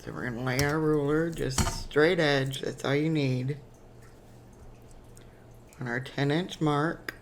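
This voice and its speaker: A woman with a raspy, hoarse voice from a cold, hosting a chatty step-by-step crafting tutorial with frequent ums. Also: low voice, steady tone, slow, long pauses, a little hiss or hum.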